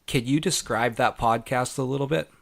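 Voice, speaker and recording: A man hosting a podcast, speaking earnestly with measured pauses, a little congested from a cold. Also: mid-range voice, gravelly, crowd noise. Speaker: podcast host. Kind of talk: podcast intro and interview.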